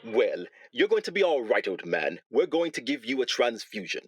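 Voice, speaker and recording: An actor playing a brisk, reassuring hospital doctor in a radio comedy. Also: male voice, mid-range voice, very animated, slightly rough. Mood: brisk, matter-of-fact. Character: brisk, matter-of-fact male hospital doctor. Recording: loud background noise with clicks.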